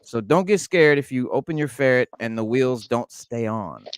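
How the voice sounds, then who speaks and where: A man's voice: medium pitch, animated, moderate pace, slight background noise. Profane rambling man. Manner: rambling, profane, lots of restarts. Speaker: podcast co-host. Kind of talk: casual unboxing chat.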